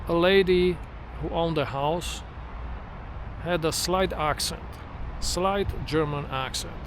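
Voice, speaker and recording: A middle-aged man who speaks English as a second language, talking to the camera outdoors in an unhurried, reflective way with frequent restarts. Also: a little high. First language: not English. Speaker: vlogger. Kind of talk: casual walking vlog monologue. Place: outdoors near trees and traffic. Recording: outdoor traffic noise. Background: street traffic noise.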